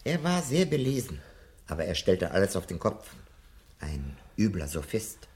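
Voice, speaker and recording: Casting: elderly scholar ally, radio drama. Deep, lively intonation, earnest, emphatic, deliberate. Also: male voice. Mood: thoughtful, earnest. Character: older learned professor, warm, earnest, excitable ally. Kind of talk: 1970 German radio drama acting. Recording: radio drama with faint background noise.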